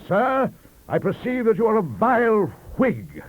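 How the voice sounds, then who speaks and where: Man acting a roaring, pompous old-fashioned Tory professor, pitched high. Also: wildly swooping pitch, measured pace, dry recording, narrow, tinny audio, exaggerated voice. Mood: pompous outrage. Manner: pompous, bellowing, grandiloquent.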